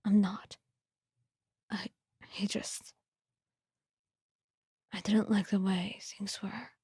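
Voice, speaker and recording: Woman acting young male swordsman, ASMR roleplay, hushed, mellow, breathy, slow, restrained worry, protective. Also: mid-range voice, little pitch movement, dry recording, clean studio sound, heavily acted. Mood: quiet worry. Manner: slow, understated, tender. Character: quiet, blank-faced, protective young swordsman, secretly worried.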